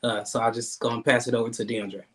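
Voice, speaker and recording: A man, hosting an online talk show in a casual, halting way with many repeated words and fillers. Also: mid-range voice, level tone, a bit bright. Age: 30s. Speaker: guest host.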